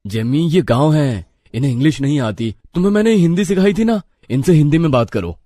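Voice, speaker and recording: A man voicing plain-spoken, excitable young village men in a moral story. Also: on the high side, fairly quick, no echo, studio quality, natural voice. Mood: annoyed, brisk. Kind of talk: animated moral story dialogue.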